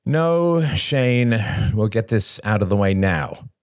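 A man's voice: fairly low pitch, sing-song, moderate pace, no room sound, clean studio sound, pitched above the performer's own voice. A man voicing a brash, impatient, bossy gym-bro older brother in an audiobook story, with an expansive, decisive manner. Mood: impatient, stern.

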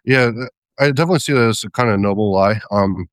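A man with a smooth, low voice chats with the host, hesitant and full of ums and little laughs. He agrees easily before rambling through his point. The recording is dry and close. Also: fast, no echo. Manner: hesitant, many ums and restarts, light laughs. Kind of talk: casual conversation.

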